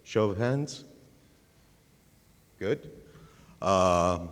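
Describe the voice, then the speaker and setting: A middle-aged man with an Israeli accent giving a casual conference tech talk on a handheld mic, with lots of fillers. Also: lowish voice. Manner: casual, self-deprecating, many fillers. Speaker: conference speaker. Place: large conference hall. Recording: long hall reverb.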